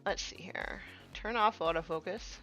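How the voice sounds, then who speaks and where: A woman's voice: lowish voice, moderate pace, bright, forceful tone, soft background music. A woman in her thirties chatting casually while painting miniatures on a live stream, with many fillers, sometimes trailing off to a murmur.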